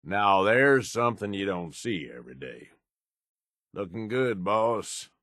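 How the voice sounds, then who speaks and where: An actor playing a gruff, cynical middle-aged American raider lieutenant in a video game, blunt and folksy with a drawl. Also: male voice, lively intonation, measured pace, fairly bright tone, no echo, studio quality. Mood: impressed. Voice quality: low, gruff. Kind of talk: video game companion voice lines.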